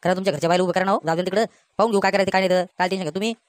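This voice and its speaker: A woman voicing a brisk, insistent male village Patil in a comic cartoon, with a folksy Varhadi Marathi accent. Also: fairly low pitch, steady tone, dry recording, studio quality, natural voice. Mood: brisk, reassuring. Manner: insistent, folksy, dismissive of worries.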